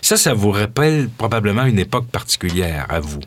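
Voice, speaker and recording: A man hosting a radio music show, explaining the background of songs in a measured, informative way with occasional hesitations. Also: lowish voice, very animated, a little hiss or hum. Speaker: radio host.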